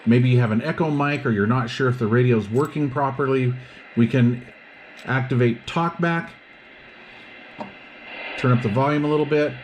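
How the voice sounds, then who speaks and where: A man's voice: medium pitch, moderate pace, pauses often, slight background noise. A man giving a casual hands-on product review, explaining things step by step.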